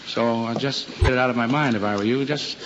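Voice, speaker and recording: A man plays a proud, gruff American cop and single father from a vintage radio play. He blusters and hesitates in a folksy way, at a medium pitch. The recording is dull, with faint noise. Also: fast, lightly acted. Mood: subdued, resigned. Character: gruff, proud, flustered widowed policeman father.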